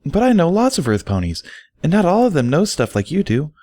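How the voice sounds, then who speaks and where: A man puts on a shy young pony mare for a storybook reading. The voice is pitched way up and plaintive, full of girlish insecurity and questions, in a very dry recording. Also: huge pitch swings, a little fast, mellow, no room sound. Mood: anxious, questioning.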